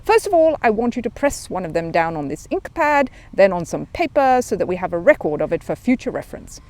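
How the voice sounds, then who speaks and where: A woman's voice: medium pitch, big pitch swings, a little fast, darkish tone, close to an everyday voice. A woman playing a stern, officious young male police constable who is easily exasperated, in a storybook reading. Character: stern, flustered young policeman, new to the job.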